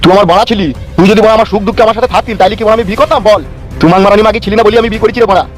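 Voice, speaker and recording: Man performing philandering village husband, brazen, high-pitched, extremely quick talker, comedy cartoon. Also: fairly even intonation, close to an everyday voice. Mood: dismissive, brazen. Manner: teasing, crude, dismissive.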